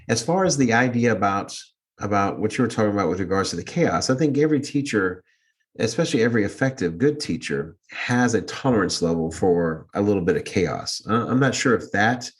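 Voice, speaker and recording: A man with a big voice, a teacher co-hosting a podcast, speaking frankly and assertively with a wry edge. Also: fairly low pitch. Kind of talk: reflective casual discussion.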